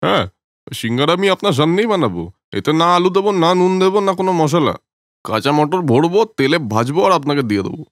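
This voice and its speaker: Brash cheeky young man, lively voice. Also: on the high side, quick pace, no echo, studio quality. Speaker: cartoon voice actor. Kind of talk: Bengali cartoon dialogue.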